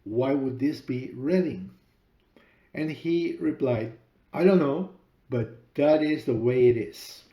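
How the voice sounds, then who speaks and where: A middle-aged Peruvian man with a Spanish accent tells his own story in a slow, deliberate podcast monologue. His voice is mellow and warm. The room around him echoes noticeably. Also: medium pitch. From Peru. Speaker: podcast host and author.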